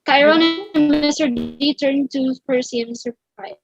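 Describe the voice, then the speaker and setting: A woman's voice: high-pitched, steady tone, unhurried, vocal fry, lo-fi sound, faint music behind. A woman narrator reading out stage directions in scripted narration for a theatrical table read.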